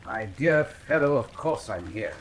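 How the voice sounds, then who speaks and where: A man playing a brilliant, imperious Victorian gentleman detective, precise and theatrical in a radio drama. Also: fairly low pitch, lively intonation, unhurried, background noise. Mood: confident, amused. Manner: precise, theatrical, self-assured.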